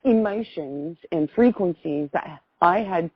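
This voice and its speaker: A woman's voice: fairly low pitch, animated, slow pace, slightly rough, dry recording. A middle-aged American woman on a phone call, telling a personal story in an effusive, rambling way. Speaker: phone caller. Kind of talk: casual spoken personal account. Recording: muffled phone call recording.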